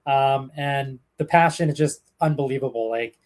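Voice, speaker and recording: A man's voice: medium pitch, moderate pace, darkish tone. A young Canadian man, a hockey broadcaster, answering interview questions in a chatty, storytelling way with many fillers and restarts. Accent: Canadian English. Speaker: hockey play-by-play broadcaster, guest.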